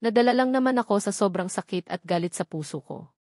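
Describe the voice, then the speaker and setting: A woman's voice: medium pitch, lively intonation, fairly quick, darkish tone, no echo, clean studio sound. A woman with a Filipino accent reading scripted narration from a romance novel. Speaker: audiobook narrator.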